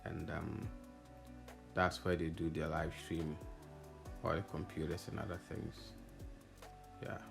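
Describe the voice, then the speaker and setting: A man's voice: a bass voice, level tone, a little slow, creaky, long pauses. A man giving a casual, hesitant voice-over walkthrough as an audio installer, thinking aloud as he explains. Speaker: audio installer narrating own project video. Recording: music playing behind the voice. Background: background music.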